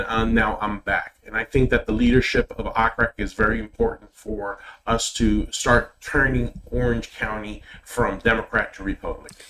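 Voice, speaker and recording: A middle-aged man with a Puerto Rican accent answers interview questions as a political candidate. His voice sits at an average pitch. A native Spanish speaker, he speaks hesitantly and often repeats words. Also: fairly bright tone, rough recording. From Puerto Rico.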